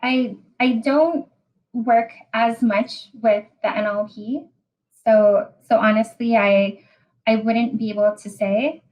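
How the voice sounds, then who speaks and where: A woman from Hawaii with a Hawaiian accent, giving a technical webinar talk over a video call, often pausing and repeating words as she explains. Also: a little high, steady tone, darkish tone, poor audio. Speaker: presenter.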